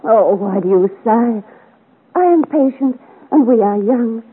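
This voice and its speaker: Young noble heroine, thin old radio sound. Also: female voice, fairly high pitch, animated, a little slow, phone-quality sound. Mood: earnest, proud. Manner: theatrical, earnest, formal period wording. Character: proud, devoted young noblewoman, delicate romantic heroine. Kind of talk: 1950 radio serial romantic melodrama acting. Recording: thin, noisy old 1950s radio recording.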